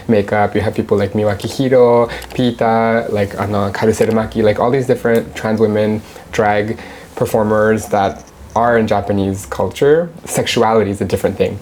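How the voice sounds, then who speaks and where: A woman's voice: rumbling low, warm tone, a little hiss or hum. A woman in her thirties, from Mexico and raised in Canada, talking candidly and chattily as an interview guest at her home. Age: 30s. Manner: chatty, candid, laughs easily. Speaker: interview guest, drag performer. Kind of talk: casual interview.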